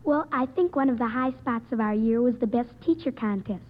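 A young American woman reads precisely and formally on a vintage radio program. Her voice is fairly high, and the old recording is thin and boxed-in. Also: brisk, narrow, tinny audio. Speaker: quiz show panelist. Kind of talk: reading a prepared report on radio.

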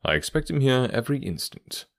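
A man voicing a calm, authoritative detective in an audiobook, with a quiet, assured and stern manner. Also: medium pitch, fairly quick, creaky, no echo, studio quality, voice pushed up. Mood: calm assurance.

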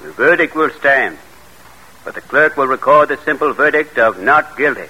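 An actor playing a stern, authoritative judge in old-time radio drama, formal and declamatory. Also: male voice, medium pitch, expressive, normal speed, pushed and bright, lo-fi sound.

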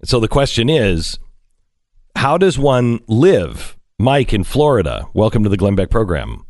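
A man's voice: lowish voice, sing-song, measured pace, darkish tone, dry recording, studio quality. A man in his fifties hosting a talk radio show, wry and questioning as he leads the talk. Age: 50s. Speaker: radio host. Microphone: studio microphone.

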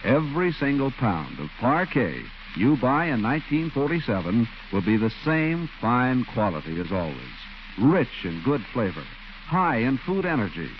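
A man's voice: mid-range voice, very animated, moderate pace, a little hiss or hum. An American man announcing in a scripted 1940s radio style, as for sponsor spots and credits. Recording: muffled 1940s radio recording, faint music.

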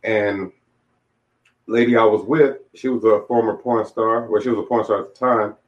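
Low-voiced man in his late forties, muffled audio. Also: fairly even intonation, normal speed. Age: late 40s. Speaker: interview guest, author. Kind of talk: candid personal storytelling. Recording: dull, lo-fi audio.

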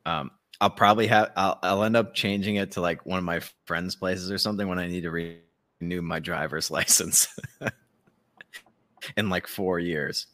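Guy w really deep punchy voice just chatting on a podcast, kinda sardonic, swears a bit. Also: steady tone, measured pace, bright, forceful tone. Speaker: podcast co-host. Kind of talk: casual chat.

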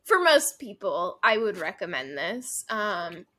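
A woman's voice: medium pitch, big pitch swings, slow pace, sharp tone. A young woman co-hosting a book podcast, recapping and reviewing in a casual, chatty way with lots of fillers. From Midwestern United States. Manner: chatty, frequent fillers and laughs. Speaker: podcast co-host.

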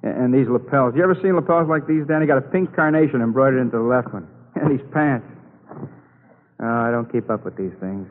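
An actor playing a talkative, needling police colleague with an insinuating manner in an old-time radio crime drama. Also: male voice, medium pitch, slight background noise, lightly acted. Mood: needling, grim. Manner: insinuating, drawn-out, repeating questions. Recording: muffled, tinny old radio recording.

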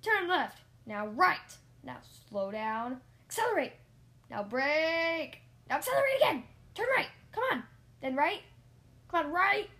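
Woman as male rodent driving teacher, high voice, bossy, strict, barking, scolding, storybook character. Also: normal speed, lightly acted. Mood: shouting, urgent.

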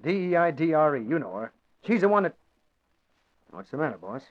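A man's voice: on the high side, very animated, fairly quick. An actor playing a streetwise male newspaper reporter in an old radio drama, with a breezy, slangy way of talking. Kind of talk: 1940s radio acting. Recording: muffled old radio broadcast.

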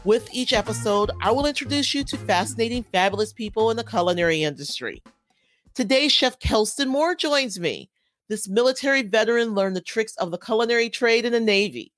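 A woman's voice: fairly high pitch, expressive, brisk, fairly bright tone, soft background music. A woman hosting a food podcast, reading a polished introduction and then chatting with her guest. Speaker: podcast host. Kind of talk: scripted intro then interview chat.

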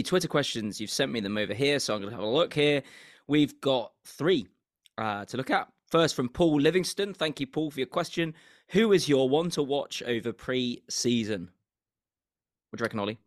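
A young Englishman with a mid-pitched voice that is strained and keeps cracking squeaky, as though he has nearly lost it. He speaks in a measured, hesitant way, repeating himself as he weighs his views in a casual football chat. The recording is dry and close. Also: dry recording. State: losing his voice, goes squeaky. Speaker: podcast co-host.

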